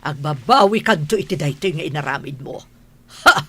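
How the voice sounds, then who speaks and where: A haughty, domineering mother in an audio drama. Her voice is bright and hard-edged with a husky rasp, swinging widely as she scolds in indignation. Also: low-pitched, animated, normal speed, heavily acted. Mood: angry, scornful. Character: domineering rich older mother, haughty and scornful. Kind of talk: radio drama acting.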